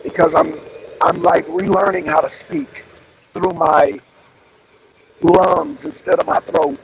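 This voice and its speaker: Man, raspy, high-pitched, casual live-stream host, rambling and repetitive, faint background noise. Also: big pitch swings, slow pace, poor audio. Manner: rambling, repeats phrases, greets viewers by name. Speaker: streamer hosting a wrestling show.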